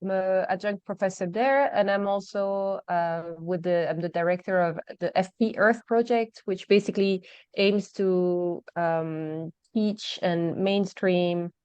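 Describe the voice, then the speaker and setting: A woman professor introducing herself on a video call from a coffee shop, speaking briskly and upbeat. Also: fairly low pitch, fairly even intonation, darkish tone, clear, resonant tone, no room sound. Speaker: adjunct professor. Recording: dull dry audio.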